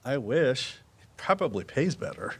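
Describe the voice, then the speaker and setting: Casting: knowledgeable male woodsman, guarded and folksy, mid-pitched, very varied intonation, audio drama. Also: sing-song, moderate pace, vocal fry, fairly bright tone, slightly rough, full character voice. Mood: wry. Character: Walt, guarded, knowledgeable woodsman and conservation volunteer. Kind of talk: full-cast audio drama dialogue.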